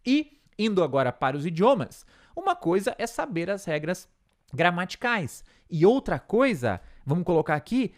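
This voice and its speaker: A man's voice: sits high, a bit bright. A man with a Brazilian Portuguese accent teaching an English lesson, explaining step by step and checking in with frequent tag questions.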